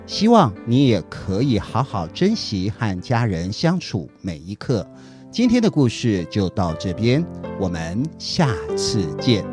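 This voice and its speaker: A middle-aged man narrates for children. His voice is lowish and very animated, with a Taiwanese Mandarin accent. Soft music plays behind him. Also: unhurried. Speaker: storyteller host. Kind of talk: scripted narration addressed to children.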